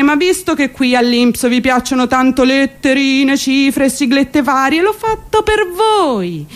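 An actress playing an exasperated, sardonic commuter worn down by city life, in a theatrical radio monologue with ironic, emphatic delivery. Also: female voice, high-pitched. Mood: sarcastic. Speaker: stage actress.

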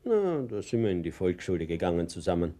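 A man's voice: deep voice, big pitch swings, brisk, warm tone. An actor playing a naive, chatty older Bavarian man who boasts to a child in a radio play, rambling and confiding. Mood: fond, amused. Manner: rambling, chuckling, confiding storyteller.

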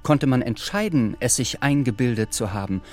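A man narrating a literary radio play as a sober, reflective storyteller, in a measured manner. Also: medium pitch, expressive, soft background music, lightly acted. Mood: pensive. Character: sober, reflective male storyteller of a wartime novel.

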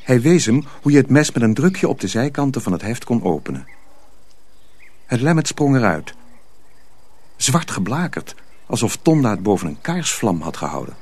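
A man's voice: mid-range voice, sing-song, normal speed, long pauses, a little mellow, husky. A man narrating a radio play in scripted storybook style.

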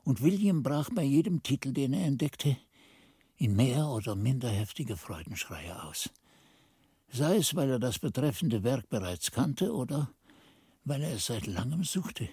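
A man's voice: mid-range voice, animated, unhurried, warm tone, husky, dry recording, clean studio sound, heavily acted. A man playing an earnest monastery narrator in a radio drama, recounting events with careful, storytelling delivery. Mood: earnest, wry.

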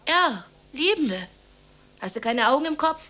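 A woman's voice: sits high, sing-song, a little slow, pushed and bright, exaggerated voice. An actress in a German radio drama playing a weary, scornful woman with a blunt, cutting way of talking. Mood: indignant. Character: weary, scornful woman rejecting her suitor.

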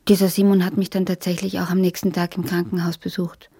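An estranged, stubborn character in a radio play. Her voice is soft, dark-toned and breathy, in a dry, close studio sound. She makes plain, deliberate statements with an earnest, detached air. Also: fairly low pitch, level tone, dry recording. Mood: reflective, dazed. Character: detached, stubborn woman applicant who lost her sense of self. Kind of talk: radio drama acting.

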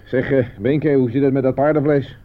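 An actor playing a curt soldier manning a field telephone in a Dutch radio war drama. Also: male voice, medium pitch, fairly even intonation, moderate pace, phone-quality sound, lightly acted. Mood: dry. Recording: noisy, thin, band-limited old radio recording.

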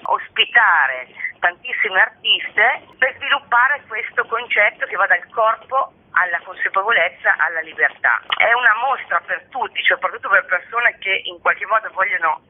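A woman's voice: medium pitch, animated, normal speed, breathy edge. Woman artist on a tinny phone line. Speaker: artist presenting her own exhibition. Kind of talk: explanatory interview talk. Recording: narrow tinny telephone-like line.

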